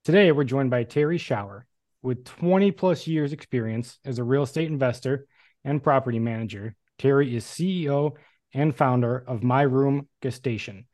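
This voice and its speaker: A male podcast host reads a prepared introduction at an even, measured pace. His voice sits mid-range for a man. The recording is dry, with no room echo. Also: mid-range voice. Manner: steady, formal read. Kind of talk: reading a scripted guest introduction.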